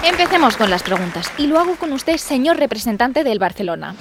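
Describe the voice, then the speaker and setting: A woman's voice: sits high, sing-song, brisk, a loud crowd, very noisy. A woman narrating a scripted comic audio story in a theatrical manner, like a mock TV judge and host.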